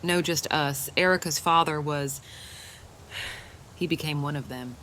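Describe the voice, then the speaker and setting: A woman's voice: low voice, unhurried, a bit bright, slight background noise. Woman playing a wary, desperate survivor mother. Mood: guarded. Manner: pleading, guarded. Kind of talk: audio comic dramatisation.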